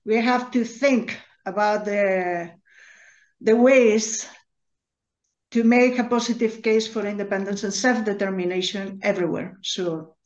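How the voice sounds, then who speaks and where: A woman's voice: mid-range voice, fairly even intonation, unhurried, a little mellow. Hesitant woman, muffled call audio. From Galicia, Spain. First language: Galician. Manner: hesitant, many fillers. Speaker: interviewer, host. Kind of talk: interview questions.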